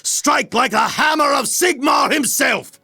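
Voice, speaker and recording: A man's voice: very high-pitched, measured pace, vocal fry, sharp tone, no room sound, shouting, studio quality, full character voice. A man playing an earnest young captain of a fantasy empire in a video game, speaking formally and resolutely. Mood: rousing battle cry. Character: earnest young male Imperial army captain, rallying. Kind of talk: heroic fantasy game acting. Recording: music behind the voice.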